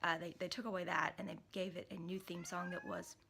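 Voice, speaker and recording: A young woman, hoarse from a cold, chatting casually to camera in a small room, rambling with many fillers and restarts. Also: lowish voice, level tone, brisk, pushed and bright, slight background noise. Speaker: vlogger.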